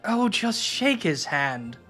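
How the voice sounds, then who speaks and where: A man's voice: high voice, sing-song, slow pace, fairly bright tone, soft background music, exaggerated voice. A man playing a practical, mildly exasperated adventurer in a fantasy radio drama.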